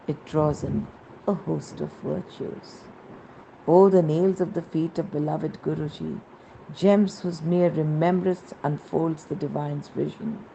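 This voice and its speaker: Woman, devotional narration, gravelly low voice, reverent, measured, emphatic phrasing, slightly noisy recording. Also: warm tone, dull audio. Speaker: narrator reading a devotional book.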